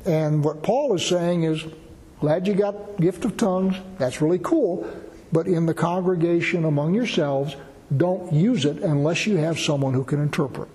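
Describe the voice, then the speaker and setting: A man with a fairly high but mellow voice teaches through a Bible passage in a relaxed, conversational way, using plain everyday examples. His voice rings with a long reverb, as in a large hall. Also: moderate pace.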